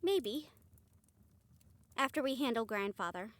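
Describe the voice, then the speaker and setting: A woman playing a devoted, excitable young vampire bride-to-be in a fantasy romance audio roleplay, expressive and affectionate. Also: a little high, measured pace, no echo. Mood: hesitant, resolute. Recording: faint music behind the voice.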